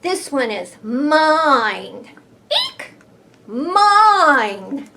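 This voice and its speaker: Woman playing an excitable, high-pitched greedy little bee. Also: gravelly, noisy background, full character voice. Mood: bossy, shouting. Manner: exclaiming, drawn-out words. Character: greedy, self-centred little bee, excitable and easily frightened. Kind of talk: children's picture-book read-aloud.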